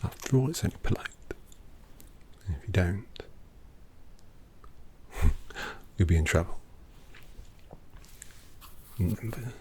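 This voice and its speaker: A man voicing a refined, courteous vampire painter in a whispered ASMR roleplay, polite and quietly menacing. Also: sits low, lively intonation, takes it slowly, pauses often, slight background noise. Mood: hushed. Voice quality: soft whispery delivery with gravelly creak.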